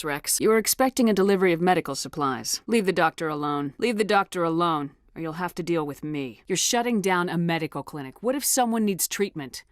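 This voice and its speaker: A steely female starship commander, acted by a woman for a video game, with a lowish voice. She speaks firmly and directly, with controlled authority and compassion beneath it. The sound is dry, free of room echo. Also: lightly acted. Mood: assertive, challenging. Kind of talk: scripted video game dialogue acting.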